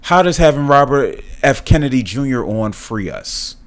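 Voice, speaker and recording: A man's voice: animated, moderate pace. Earnest man giving solo podcast commentary. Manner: earnest, repeats and restarts words, rises in pitch when stressing points. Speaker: podcast host.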